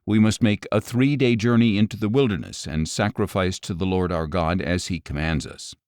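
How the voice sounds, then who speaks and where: A man reading scripture as an audiobook narrator, in a measured, solemn manner with clear enunciation. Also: sits low, a little mellow, no echo, clean studio sound.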